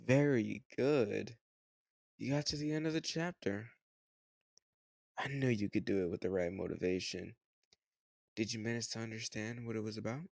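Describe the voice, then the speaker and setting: Low, gravelly teasing male tutor, acted by a man. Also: moderate pace, long pauses, a little mellow, dry recording, studio quality. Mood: encouraging. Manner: teasing, coaxing, encouraging. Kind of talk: scripted romantic audio roleplay, addressed to the listener.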